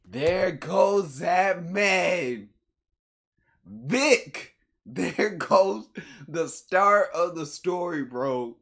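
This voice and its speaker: A young man giving casual reaction commentary in an animated, slangy way, repeating phrases. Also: high-pitched, drawn-out words, creaky. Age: young adult. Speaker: reaction video host. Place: small bedroom, indoors.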